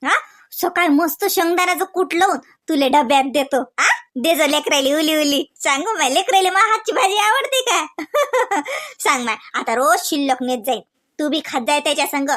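A woman's voice: high-pitched, expressive, normal speed, fairly bright tone. A woman voicing a gullible, doting village mother in a comedy cartoon, gushing and exclamatory, with a Varhadi Marathi accent. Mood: pleased, chatty. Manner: gushing, exclamatory, repeats words.

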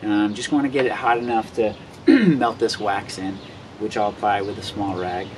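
A man's voice: on the low side, steady tone, normal speed. A man in his thirties giving a casual how-to explanation as an outdoor vlogger. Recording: clear ambient noise behind voice.

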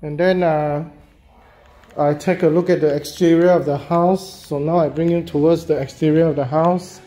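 A man, a real estate agent walking through a property and talking casually, halting and repeating himself with many fillers as he goes. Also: fairly high pitch, steady tone, warm tone. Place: walking through a house and yard, in and out of doors.

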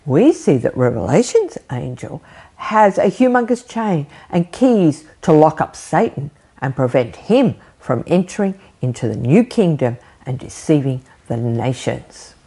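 A woman's voice: sits low, measured pace, husky. An older woman preaching a sermon from an armchair at home, talking with her hands and asking her listeners questions. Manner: animated, gesturing, rhetorical questions. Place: living room with bookshelf, indoors. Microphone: fixed camera framing, seated.

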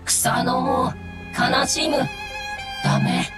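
A woman voicing a small, innocent, devoted mushroom creature in an anime game, in halting, broken, childlike phrases. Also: sits high, huge pitch swings, loud music behind the voice, heavily acted. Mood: earnest, sad. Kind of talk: Japanese anime game acting.

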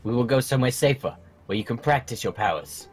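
A man playing a solemn dragon mentor in human form with a measured, portentous manner, in a gaming roleplay. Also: medium pitch, expressive, soft background music, lightly acted. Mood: solemn, instructive. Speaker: mentor training young dragon riders. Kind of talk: fan roleplay acting in a gaming video.

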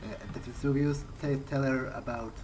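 Male gamer, mid-pitched, musing in character with halting phrasing, noticeable noise and game atmosphere underneath. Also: fairly even intonation. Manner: hesitant, thinking aloud. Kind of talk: improvised in-character video game roleplay. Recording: clearly noisy, game ambience underneath. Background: video game ambience.

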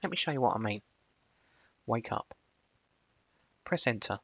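A man presenting a screencast software tutorial, switching between casual explanation and clipped spoken commands. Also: medium pitch, lively intonation, measured pace, creaky, slightly rough, no room sound.

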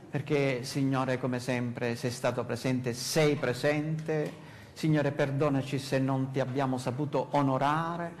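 An older man with a Sicilian Italian accent prays fervently, breaking his words into short, halting phrases. He is a pastor speaking in a small church hall, heard from some distance. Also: medium pitch, a little hiss or hum. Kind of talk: closing prayer.

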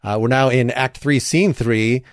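A man acts as narrator and host of a play reading, giving stage directions informally. His voice is medium in pitch and recorded dry. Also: unhurried, dry recording.

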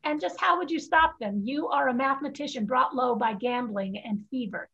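A woman's voice: high-pitched, normal speed, creaky, a bit bright, husky, exaggerated voice. A woman reading the part of a proud, blunt fifteen-year-old Chinese girl in a staged play reading over a video call. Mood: cutting. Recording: muffled video-call audio.

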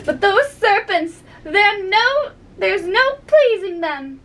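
A woman's voice: extremely high pitch, expressive, slow, a bit bright, far from the mic, voice pushed up, exaggerated voice. A woman voicing an exasperated, long-suffering mother pigeon in a storybook, complaining and scornful. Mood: sobbing frustration. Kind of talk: storybook character voice.

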